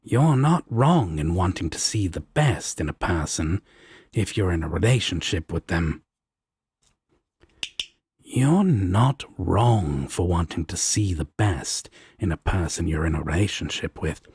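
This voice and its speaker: A deep-voiced devil roommate acted by a man in a comfort roleplay, sardonic but protective and fond. His husky drawl is slow and swings widely in pitch as he talks bluntly and coaxingly. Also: animated, darkish tone, no room sound, full character voice. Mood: gentle, firm. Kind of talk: romantic comfort audio roleplay.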